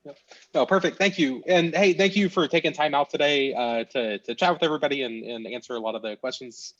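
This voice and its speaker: Quick-talking host on dull, dry audio. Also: male voice, on the high side, no echo. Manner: relaxed, conversational. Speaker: host, interviewer. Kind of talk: casual interview questions.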